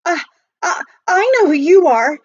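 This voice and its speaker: Woman as a young boy, earnest, polite, wary, animated intonation, storybook reading, dry and slightly muffled recording. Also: very high voice, a little slow, dry recording, pitched above the performer's own voice. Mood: wary, firm. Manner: polite, plain-spoken, earnest. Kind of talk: children's storybook read aloud.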